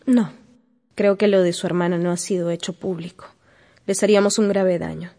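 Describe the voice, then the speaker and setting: An actress playing a young, proud and earnest gentlewoman in a radio drama. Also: on the low side, steady tone, moderate pace, natural voice.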